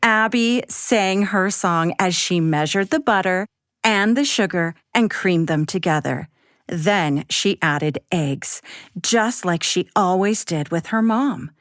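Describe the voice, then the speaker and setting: A woman narrating a scripted children's bedtime story with expressive, deliberate, simple phrasing. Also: on the low side, dry recording, clean studio sound.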